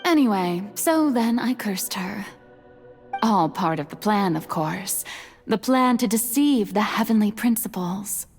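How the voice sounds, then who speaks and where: A woman playing a regal, wistful water deity in a video game, soft and sometimes breathy, with measured, theatrical, formal phrasing. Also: mid-range voice, lively intonation. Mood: solemn, confiding. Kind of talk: dramatic video game acting. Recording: music playing behind the voice.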